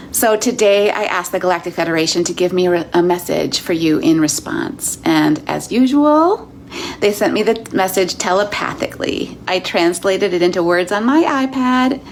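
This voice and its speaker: Earnest low-voiced woman reading messages. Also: vocal fry, slight background noise. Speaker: self-styled channeler.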